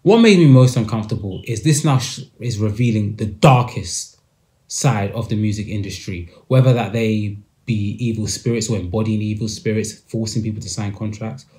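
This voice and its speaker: A young man, hosting a podcast and commenting emphatically to camera from a small room. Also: mid-range voice. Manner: animated, emphatic with hand gestures. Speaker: podcast host.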